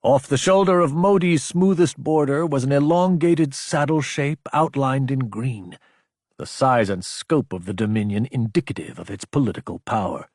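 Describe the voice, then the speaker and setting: A man narrating sci-fi fiction as an audiobook, with a measured, serious, dramatic storytelling delivery. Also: medium pitch, darkish tone, no echo, clean studio sound.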